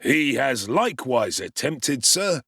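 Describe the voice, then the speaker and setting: A man's voice: mid-range voice, very animated, slow pace, vocal fry, bright, forceful tone, husky, no echo, pitched above the performer's own voice, full character voice. A man playing a blustering pompous beadle. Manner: blustering, self-important. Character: pompous, officious middle-aged parish beadle. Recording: dry close studio sound.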